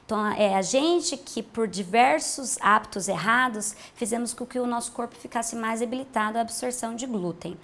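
A woman's voice: fairly high pitch, normal speed, vocal fry, few pauses, fairly bright tone. A woman in her thirties with a Brazilian accent, a nutritionist explaining diet in a TV health interview, patient and plain-spoken, on a lapel mic. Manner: explanatory, patient, uses simple comparisons. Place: bright room with large windows.

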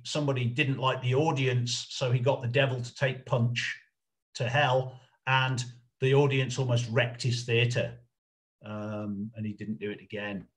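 A middle-aged man giving an informal illustrated history lecture over a video call, explaining in a halting, hesitant way. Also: medium pitch. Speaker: lecturer, researcher presenting a talk.

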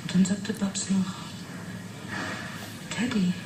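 Radio play witness: actress as mid-pitched woman, matter-of-fact but fascinated, halting delivery, roomy and noisy. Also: close to an everyday voice. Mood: intent, fascinated. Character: young woman witness recounting an uncanny experiment, matter-of-fact yet fascinated. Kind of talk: German radio play acting.

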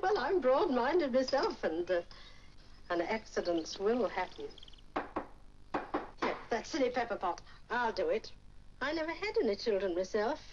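An actress playing a chatty, middle-aged English village widow in 1940s British film style, with a gossipy manner. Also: female voice, fairly high pitch, very animated, a little slow, pauses often. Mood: chatty, genteel. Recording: muffled, noisy 1940s film soundtrack.

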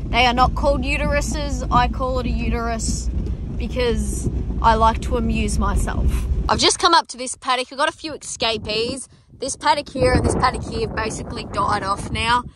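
A woman's voice: a little high, background noise. A young Australian woman on her farm, chatting casually to a phone camera in her ute and out in the paddocks, blunt and self-amused, swearing freely. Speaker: farmer vlogger. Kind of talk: casual vlog chat. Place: inside a ute, then outdoors in open paddocks. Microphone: phone camera held at arm's length.

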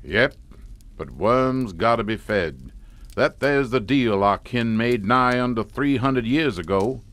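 An actor playing a folksy, grimly resigned Southern family patriarch in a radio horror drama, with a Southern US accent. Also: male voice, medium pitch, animated, slow pace, fairly bright tone. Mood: grimly matter-of-fact.

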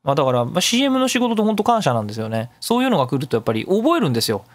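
A man's voice: fairly high pitch, big pitch swings, very fast, dry recording. A man hosting a casual solo radio talk, chatty and emphatic as he explains things step by step. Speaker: radio show host. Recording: music behind the voice. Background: background music.

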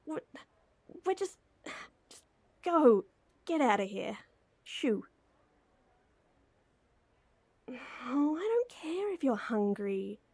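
Woman acting a flustered young woman with a crush, teasy and hesitant, slow intimate roleplay, soft mellow voice. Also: sits high, big pitch swings, husky, no room sound, exaggerated voice. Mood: exasperated. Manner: hesitant, flustered, teasing, giggly. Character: flustered, lovestruck young woman teasing her friend. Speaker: audio roleplay voice actor.

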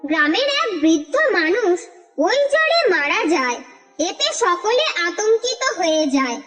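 A woman narrating a cartoon folk tale in a steady, expressive storytelling manner. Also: very high-pitched, unhurried. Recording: reverberant with music underneath.

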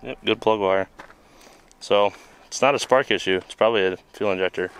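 Laid-back low-voiced man explaining repairs. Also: normal speed, a bit bright, clean tone. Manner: laid-back, rambling, swears casually. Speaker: host and mechanic. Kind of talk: casual hands-on repair explanation. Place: outdoor junkyard by a vehicle.

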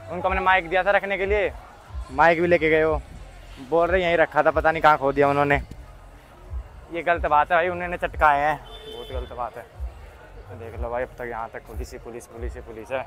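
A young man chatting casually and excitably into a phone as he vlogs outdoors. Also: fairly high pitch, brisk, faint music behind, rough recording. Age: young adult. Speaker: vlogger. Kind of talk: casual vlog chat. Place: outdoors on streets and grounds near a large crowd. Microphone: phone at arm's length.

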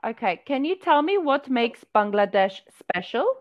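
A woman leads a relaxed online lesson and speaks slowly and encouragingly. Her voice sits fairly high and moves a lot. Her voice comes through a headset over a muffled video-call line. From South Africa. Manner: patient, encouraging, asks simple questions. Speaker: online English tutor. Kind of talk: casual video-call conversation practice. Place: indoor room.

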